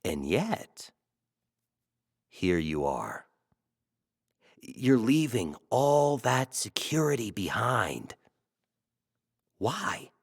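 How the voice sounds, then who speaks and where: A man playing a brash, self-adoring talk show host in an audio drama, showy, flattering and teasing. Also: medium pitch, very slow, pauses often, slightly rough, exaggerated voice. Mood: teasing, prying.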